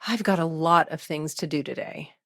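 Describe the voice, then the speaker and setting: A professional female lawyer in a sci-fi video game, with a slightly low voice. She is articulate and composed, with a brisk, wry delivery, recorded close and dry with no room sound. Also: fairly bright tone, dry recording. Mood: brisk, impatient. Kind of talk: video game character dialogue.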